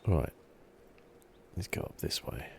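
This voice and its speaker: A soft-spoken man giving unhurried, understated ASMR commentary on a video game, often slipping into a whisper. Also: slight background noise. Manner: unhurried, understated musing to himself. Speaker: gaming channel host.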